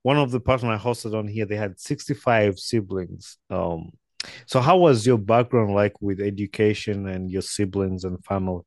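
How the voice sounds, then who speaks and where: A man's voice: fairly low pitch, normal speed, no room sound. A man with a Ugandan English accent hosting a casual podcast interview, rambling and hedging with lots of "like"s. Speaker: podcast host.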